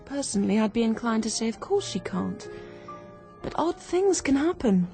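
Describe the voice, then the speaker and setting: An actress playing a keen, quick-witted young woman turned amateur sleuth in a radio drama, brisk, confident and persuasive. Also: a little high, sing-song, mellow, soft background music. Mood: excited, intent.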